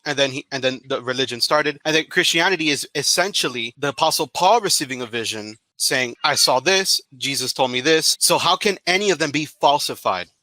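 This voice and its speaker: A man from Florida asks his questions in a hesitant, casual way, pausing and starting over. His voice has a bright, sharp edge. The sound is dry, with no room around it. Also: mid-range voice, no echo. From Florida, United States. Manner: hesitant, many ums and restarts. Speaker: caller with questions. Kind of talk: casual chat.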